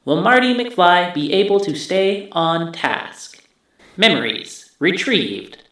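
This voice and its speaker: A man's voice: sits high, sing-song, takes it slowly, heavy background noise, exaggerated voice. A man playing a methodical neural-link machine that narrates a scripted recap of stored memories. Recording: heavily echoing voice.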